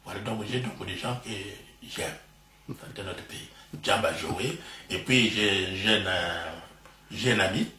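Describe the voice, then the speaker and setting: A man's voice: deep voice, unhurried, pushed and bright, a little hiss or hum. An older man with a Congolese French accent, talking proudly and expansively as a guest in an interview at home, laughing easily. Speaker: interview guest, retired professor. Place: living room at home. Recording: roomy home recording from a distance.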